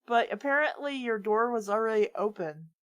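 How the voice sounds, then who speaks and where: A woman's voice: on the high side, expressive, normal speed. A woman in casual, incredulous banter while reading a story aloud. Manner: incredulous, swearing, breaks into laughs. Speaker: host of a comedy reading show. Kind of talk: casual chat and read-aloud commentary.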